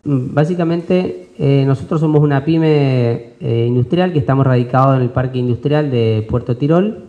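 Businessman, 30s, mellow, halting delivery, desk mic, dull recording. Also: mid-range voice. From Argentina. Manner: halting, many fillers and restarts. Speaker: business owner presenting an expo. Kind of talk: press conference statement. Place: indoor conference room. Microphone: desk mic on stand.

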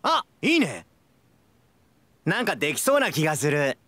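A man's voice: on the high side, wildly swooping pitch, dry recording, exaggerated voice. A man voicing a hyperactive, goofy, cheerful young man in an anime mobile game, with exaggerated delivery and abrupt bursts. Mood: manic, excited. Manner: manic, shouting, drawn-out yells, abrupt bursts.

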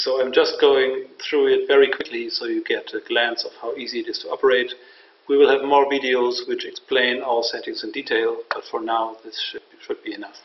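Matter-of-fact man, creaky voice, distant mic. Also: medium pitch. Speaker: software demonstrator. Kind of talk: unscripted software tutorial narration. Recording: roomy, distant mic, dull, faint noise.

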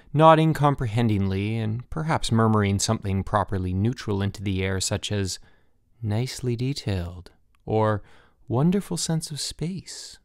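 A man narrating a literary short story as an audiobook, with measured, deliberate phrasing and careful pauses. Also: on the low side, vocal fry, no room sound, studio quality.

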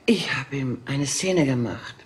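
A woman's voice: rumbling low, animated, a little slow, a little hiss or hum. An actress playing a proud, aging female film diva in a radio crime drama, haughty and indignant. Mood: confessing.

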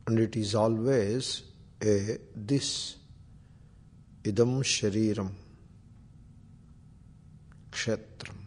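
A man with an Indian accent leading a guided meditation as a spiritual teacher, speaking deliberately in short phrases with Sanskrit terms and chants. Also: lowish voice, fairly even intonation, a little mellow. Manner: slow, deliberate, long pauses between short phrases.

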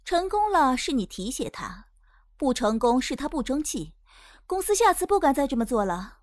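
Lady doing clever teasing woman in a radio drama, high voice, swoops around, sure of herself. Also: big pitch swings, no room sound, clean studio sound. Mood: persuasive. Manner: brisk, teasing, self-assured. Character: shrewd, teasing female confidante of a star. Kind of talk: Chinese audio drama acting.

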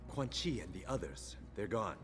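An actor playing a grave, stoic warrior who speaks tersely and seriously in a video game. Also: male voice, on the low side, sing-song, normal speed, over music. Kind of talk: video game cutscene acting.

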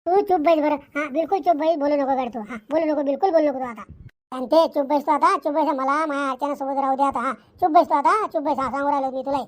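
A man acts out a flustered husband in a cartoon comedy. The voice is unnaturally high and rushes along. He pleads and insists over and over in a rural Maharashtrian Marathi accent. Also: steady tone. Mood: scolding. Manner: repetitive, insistent. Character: pleading, flustered cartoon husband caught between two wives.

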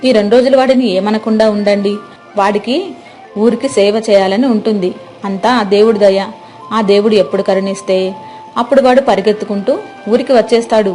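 An older village mother played by a woman speaks at a middling pitch, expressive and gently chiding. She is practical and protective of her family, in a dramatized story with soft music behind. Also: normal speed, close to an everyday voice. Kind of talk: dramatized moral story acting.

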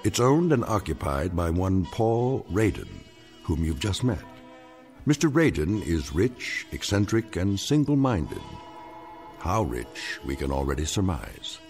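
Narrator: deep male voice, ominous and measured, lively pitch, music faint behind. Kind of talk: scripted dramatic narration.

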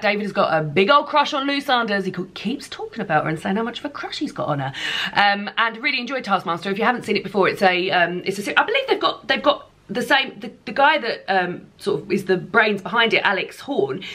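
A woman's voice: medium pitch, lively intonation, a little fast, bright, forceful tone. A woman in her thirties chatting casually to camera in a rambling, informal way, as a vlogger. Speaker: vlogger reviewing books and entertainment. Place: small indoor room lined with bookshelves.

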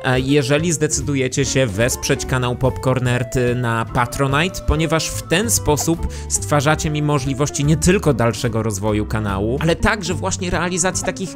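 A man's voice: medium pitch, moderate pace, hardly any pauses. A man in his thirties presenting to camera as a YouTube host, speaking close into a studio microphone. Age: 30s. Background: music.

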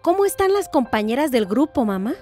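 An actress as an eager, high-voiced village woman. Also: big pitch swings, a little fast, a little mellow, soft background music. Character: convalescent village woman, eager, determined organizer. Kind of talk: radio soap opera acting.

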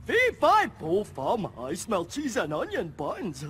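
A man playing a big, dim-witted, excitable boy in a cartoon game, with a goofy, dopey delivery. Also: high-pitched, takes it slowly, background noise, heavily acted. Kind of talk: cartoon video game acting. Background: music.

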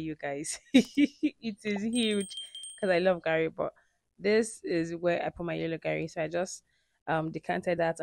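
A woman's voice: fairly low pitch, creaky, a little mellow, no echo, studio quality. A woman giving a friendly, instructive cooking voice-over that speaks directly to viewers. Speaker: cooking vlogger. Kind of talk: explanatory voice-over.

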